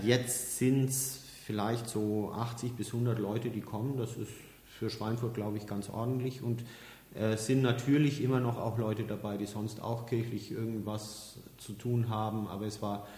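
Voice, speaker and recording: Ein Pfarrer aus Mittelfranken plaudert als Gast entspannt und ausführlich, die Stimme eines Mannes in mittlerer Tonlage. Er erklärt geduldig und gönnt sich ab und zu ein kurzes Lachen. Aufgenommen in einem Raum mit deutlichem Hall. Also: male voice, medium pitch. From Middle Franconia, Germany. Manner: relaxed, explains at length, chuckles now and then. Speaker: pastor, podcast guest. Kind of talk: casual interview talk. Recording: echoey, reverberant room.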